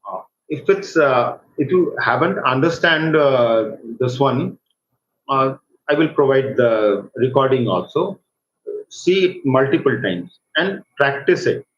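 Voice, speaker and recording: A man with an Indian accent gives an informal live software tutorial as an online instructor, speaking in a halting, hesitant way. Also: mid-range voice, animated. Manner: halting, hesitant delivery with repeated check words. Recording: roomy echo, dull lo-fi microphone, faint noise.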